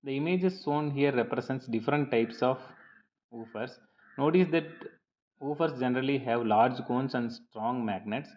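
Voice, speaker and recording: A dull, lo-fi recording carries a man's mid-pitched voice. He reads a prepared lecture as a teacher would, explaining point by point in a measured, slightly halting manner. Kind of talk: scripted lecture narration over slides.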